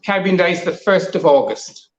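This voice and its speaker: A man's voice: high-pitched, animated, echoey room, lo-fi sound. A man raised partly in Jamaica, a guest on an interview show, explaining at length and stumbling over the first words of his answers. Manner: halting, repeats words as he starts answers.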